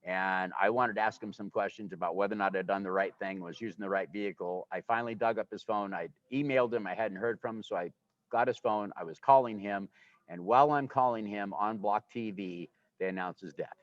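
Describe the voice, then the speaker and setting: A man's voice: fairly low pitch, fairly quick, dry recording. A man in his sixties, talking casually and enthusiastically as a guest, rambling from one point to the next. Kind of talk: casual conversation. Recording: dull, muffled livestream audio.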